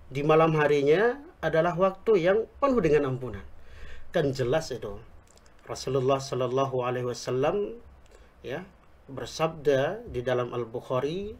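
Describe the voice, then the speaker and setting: A man explaining religious teaching on a talk show, speaking Indonesian with a Javanese accent picked up in Yogyakarta, quoting Arabic scripture in a measured way. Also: medium pitch, darkish tone. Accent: Javanese-tinged Indonesian picked up in Yogyakarta. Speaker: Islamic preacher, guest.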